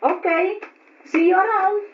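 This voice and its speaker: High-voiced young Ilocano woman. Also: steady tone, unhurried, background noise. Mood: friendly. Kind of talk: old radio drama acting. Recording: muffled old radio recording.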